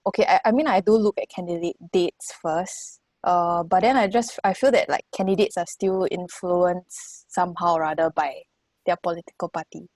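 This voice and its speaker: Woman, mid twenties, fairly low pitch, hesitant casual talk, dry close sound. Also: moderate pace, darkish tone, no room sound. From Singapore. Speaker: first-time voter on a discussion panel. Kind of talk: casual group discussion.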